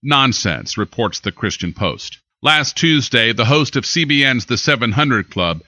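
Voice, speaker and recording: An American man reading scripted news as an anchor, with an emphatic, deliberate newsreader delivery. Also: medium pitch, animated, sharp tone, no echo.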